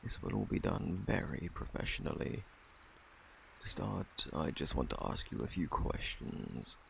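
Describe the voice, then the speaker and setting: A very deep, gravelly British male plague doctor acted by a man speaks softly, as in an ASMR roleplay. His phrasing is formal and fussy, with lively swoops in pitch and a faintly sinister politeness. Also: unhurried, a little hiss or hum, exaggerated voice, low-quality recording. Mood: clinical, hushed. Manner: formal, fussy, self-assured. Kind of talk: ASMR roleplay, soft close speech.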